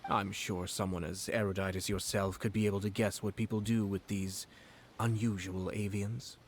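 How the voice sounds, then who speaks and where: A poised noble commissioner from a video game, voiced by a man, speaking in a lowish voice. His speech is measured and courteous, with a quietly sardonic edge. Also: level tone, slight background noise, natural voice. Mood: calm, cutting. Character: composed noble male commissioner, politely cutting. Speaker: game character.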